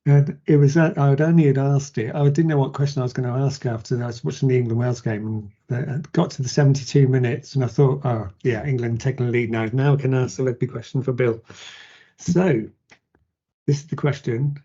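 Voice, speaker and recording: A man's voice: medium pitch, fairly even intonation, fairly quick, mellow. A man hosting a podcast interview, casual and joking in his questions. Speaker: podcast host. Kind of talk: conversational interview. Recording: dull lo-fi sound.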